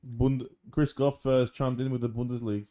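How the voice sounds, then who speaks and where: A man's voice: mid-range voice, flat delivery, moderate pace, mellow. A young man co-hosting a casual podcast, firing off questions and jokey asides. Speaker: podcast co-host. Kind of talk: casual chat.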